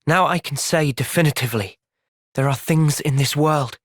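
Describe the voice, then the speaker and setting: A young man playing an earnest, thoughtful young soldier hero in a fantasy video game's cutscenes, with expressive delivery. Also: on the high side, normal speed, dry recording, clean studio sound, close to an everyday voice. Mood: calm, thoughtful. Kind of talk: video game cutscene acting.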